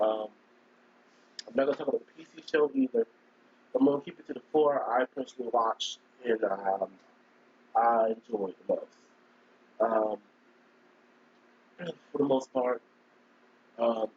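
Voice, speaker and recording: A man with a cold, hoarse and scratchy, chatting casually on a live stream and rambling as he keeps clearing his throat. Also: mid-range voice, a little mellow, highs cut off. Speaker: gaming streamer.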